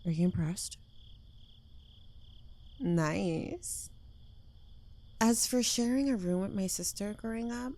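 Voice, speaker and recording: Woman acting a slow, smug yandere witch girlfriend. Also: lowish voice, vocal fry, mellow, exaggerated voice. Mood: mildly annoyed. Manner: teasing, smug, drawling, dropping into whispers. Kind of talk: intimate ASMR roleplay addressed to the listener.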